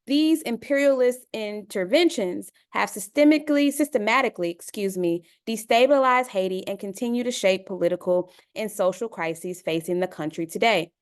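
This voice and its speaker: Smooth-voiced woman hosting an online panel. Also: medium pitch. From Chicago, United States. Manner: conversational, addresses listeners as y'all, many uh fillers. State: dry mouth. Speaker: panel facilitator.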